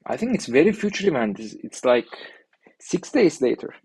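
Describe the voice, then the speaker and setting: A young man from Turkey with a Turkish accent, thinking aloud in halting, filler-heavy speech while he reviews an app on screen. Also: medium pitch, big pitch swings, a little mellow, breathy edge, no room sound. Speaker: indie maker reviewing an app. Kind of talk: casual screen-share commentary.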